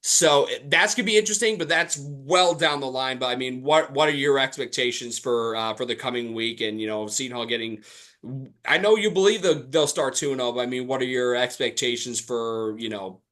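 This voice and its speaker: A man with a mid-pitched, bright and forceful voice chats casually as a sports podcast co-host. He thinks aloud, feeling his way through each point with some hesitation. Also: a little fast. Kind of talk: casual chat about basketball.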